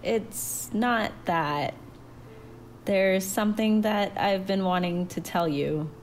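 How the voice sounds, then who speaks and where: A woman voicing a thoughtful, anxious daughter, quiet and hesitant, in a narrated story. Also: mid-range voice, takes it slowly, a little hiss or hum, poor audio. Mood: nervous.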